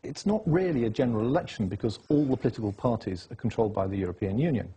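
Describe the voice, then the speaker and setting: A man's voice: medium pitch, expressive, very fast, mellow, slight background noise. A man being interviewed, laying out his case insistently and reeling off dates and facts. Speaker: interview guest. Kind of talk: political interview.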